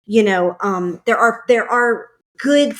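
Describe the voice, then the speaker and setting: A woman's voice: on the high side, slow pace, fairly bright tone. A woman hosting a podcast, talking casually with lots of fillers and asides. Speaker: podcast host. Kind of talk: casual conversation.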